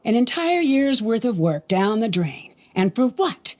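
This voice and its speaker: An actress playing a bitter young student in an anime video game, scolding and accusing in an indignant voice. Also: female voice, fairly high pitch, sing-song, measured pace, darkish tone.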